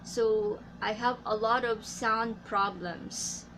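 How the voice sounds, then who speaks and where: Filipino english teacher lady, talks slow w lots of uh's, noisy recording. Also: fairly high pitch, steady tone. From Philippines. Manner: slow and careful, frequent uh fillers. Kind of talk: casual tips vlog.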